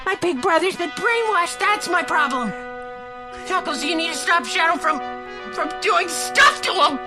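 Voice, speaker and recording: A man's voice: extremely high pitch, lively intonation, moderate pace, music in the background. A man voicing a panicked young cartoon fox boy, frantic and pleading, in a fan fiction reading. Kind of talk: fan fiction script read aloud.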